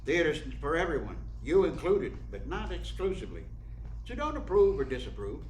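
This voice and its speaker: A man's voice: a little high, wildly swooping pitch, moderate pace. A man acts out a brash, teasing stage director in a radio drama. His delivery swings widely and lands emphatically, full of opinion. The sound is roomy, with faint noise behind. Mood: passionate lecturing. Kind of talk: radio play acting. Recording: roomy echo, noisy background.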